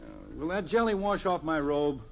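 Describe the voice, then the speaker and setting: Genial radio sitcom dad, lively pitch swings. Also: male voice, moderate pace, creaky. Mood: flustered, exasperated. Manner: folksy, hesitant, dryly sarcastic. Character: genial middle-aged suburban father, easily exasperated. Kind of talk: 1950s radio sitcom acting. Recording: dull old radio recording, faint hiss.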